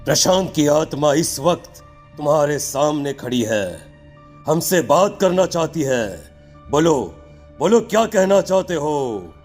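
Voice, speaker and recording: Male actor as solemn occult guru, slightly high male voice, accusing, horror cartoon, faint background music. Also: lively intonation, measured pace, a little mellow. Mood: stern. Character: stern, accusing male occult holy man.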